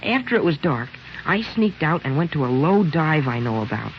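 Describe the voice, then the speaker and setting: A man's voice: on the high side, very animated, normal speed, a little hiss or hum. An actor playing a lovesick, boastful sixteen-year-old American boy in an old-time radio drama, earnest and theatrical, with hesitant exclamations. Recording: dull lo-fi old radio broadcast.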